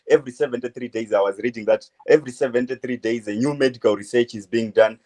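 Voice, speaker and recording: A man in his twenties from Zimbabwe, a medical student answering interview questions in a halting way, full of uh fillers. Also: mid-range voice, a little mellow. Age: 20s. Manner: hesitant, many uh fillers, halting phrases. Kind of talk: phone interview.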